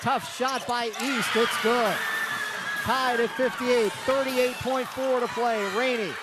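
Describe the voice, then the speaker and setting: A man's voice: very high-pitched, steady tone, takes it slowly, very noisy. A man giving live radio play-by-play commentary at a sports venue, projecting his voice in urgent, clipped phrases.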